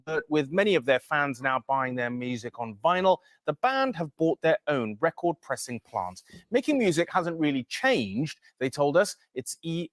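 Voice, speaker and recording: A man's voice: on the high side, no room sound. A man reading a scripted news introduction as a presenter.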